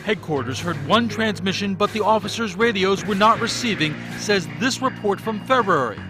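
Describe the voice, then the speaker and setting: American male investigative TV reporter, high-set voice, scripted narration over a prominent sound bed. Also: expressive, moderate pace, music in the background. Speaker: television news reporter.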